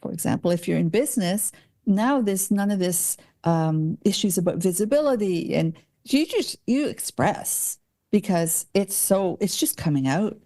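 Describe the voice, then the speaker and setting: A woman's voice: mid-range voice, lively intonation, moderate pace, dark tone. A woman hosting a podcast interview, casually chatting and searching for her words mid-thought. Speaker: podcast host.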